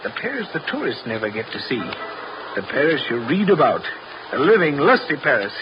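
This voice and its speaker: A genial, ingratiating smooth talker from old-time radio. His voice is fairly high and lively, with polished manners, over a noisy vintage recording. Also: brisk, dull audio. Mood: seductive. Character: smooth, suave male charmer, insinuating and genial. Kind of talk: 1930s radio drama acting.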